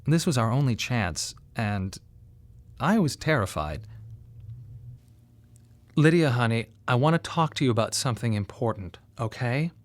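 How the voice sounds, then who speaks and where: Wry grieving father, mid-pitched. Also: sing-song. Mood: gentle, careful. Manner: measured, wry, with pointed pauses. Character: grieving bereaved father, wry, tense and plain-spoken. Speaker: narrator and lead of a monodrama. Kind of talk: dramatic first-person radio monologue.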